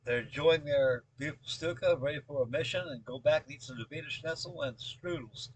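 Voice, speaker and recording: Husky, rambling older American man. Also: on the high side, no echo, rough recording. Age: late 70s. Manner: rambling, halting, repeats words and phrases. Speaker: scale model builder and video host. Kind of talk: casual update chat with rambling storytelling.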